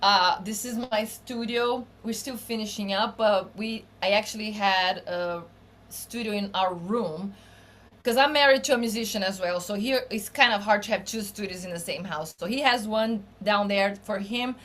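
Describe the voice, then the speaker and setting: A middle-aged woman from Brazil with a Brazilian Portuguese accent, speaking English as a second language, chatting relaxed as a musician guest in an interview, with frequent restarts. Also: medium pitch, moderate pace. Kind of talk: casual interview chat. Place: home studio room.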